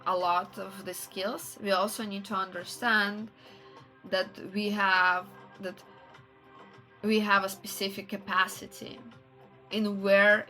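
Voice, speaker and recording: Woman streamer, non-native english accent, bright tone, halting casual chat, faint music behind. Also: medium pitch, poor audio. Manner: halting, searching for words, restarts. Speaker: livestreamer.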